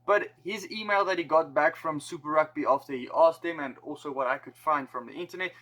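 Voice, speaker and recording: A man with a South African accent and a fairly high voice runs through a rugby explainer in a chatty vlog style. He keeps it casual and drops in joking asides as he goes. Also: a little fast, sharp tone. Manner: chatty, joking asides, slightly higher-pitched delivery. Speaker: rugby YouTuber.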